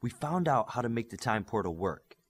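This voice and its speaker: A man's voice: mid-range voice, big pitch swings, moderate pace, breathy edge. Man voicing an earnest, methodical superhero tech expert. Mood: explaining. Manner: explanatory, methodical.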